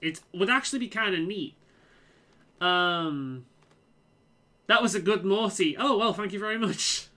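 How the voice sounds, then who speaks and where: A man's voice: sits high, a little slow, pauses often, pushed and bright. A man from England with a mild Liverpool accent, chatting casually while playing a game, often repeating words and restarting sentences. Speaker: game streamer.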